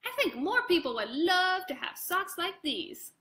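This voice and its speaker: A woman voicing an excitable, boastful young boy from a storybook, emphatic and enthusiastic. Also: very high voice, a little slow, reverberant, pitched above the performer's own voice, exaggerated voice. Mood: excited.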